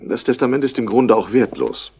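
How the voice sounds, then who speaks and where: A man's voice: mid-range voice, a little fast, gravelly, darkish tone, slightly rough. An actor playing a haughty, irritable wealthy man in a 1960s radio play, speaking in a curt, formal way. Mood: cool, formal.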